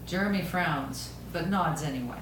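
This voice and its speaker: Woman reading script narration at a table read, far from mic, echoey room with clear noise. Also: low-pitched, normal speed. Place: echoey room, recorded from a distance.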